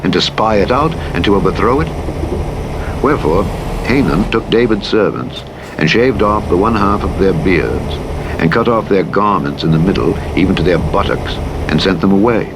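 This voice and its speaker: Deep-voiced man reading scripture over engine noise. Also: expressive. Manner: measured, formal delivery. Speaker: Bible audiobook narrator. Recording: clear engine and vehicle noise. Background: truck simulator game driving sounds.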